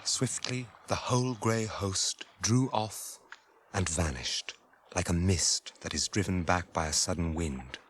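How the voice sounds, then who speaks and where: A man narrating a 1980s radio drama as a scripted story, measured in delivery. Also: sits low, a little hiss or hum. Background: dramatic music.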